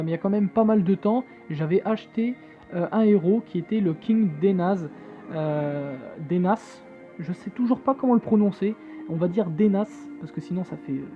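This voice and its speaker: A man gives casual commentary as he plays a game on his phone, searching for his words with many fillers and tossing in jokey asides. His voice comes through thin and tinny, like a phone line. Faint game music plays underneath. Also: sits high. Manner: reads on-screen game text aloud. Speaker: gamer playing on a phone.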